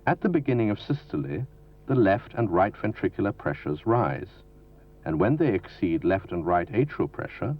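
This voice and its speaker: Deep-voiced man narrating a dated training film. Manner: formal, measured, didactic delivery. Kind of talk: scripted educational narration. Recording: dull lo-fi 1970s film soundtrack from videotape.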